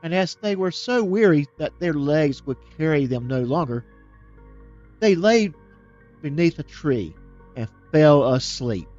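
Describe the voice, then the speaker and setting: A man reading a fairytale aloud as a storyteller, steady and plain, now and then restarting a word. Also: a little high, a little slow, dull audio, faint music behind.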